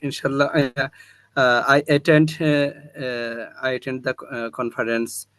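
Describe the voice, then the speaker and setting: A man in the audience offering compliments, very halting and full of filler sounds. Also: mid-range voice, level tone, fairly bright tone, no echo. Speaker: audience member.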